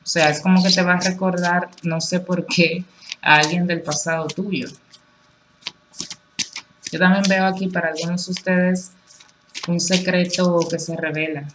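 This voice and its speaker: A woman's voice: lowish voice, level tone, measured pace, pauses often, background noise. A woman giving a casual, chatty tarot reading over cards on a table, reassuring and full of filler phrases. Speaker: tarot reader. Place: indoors at a table with cards on a cloth.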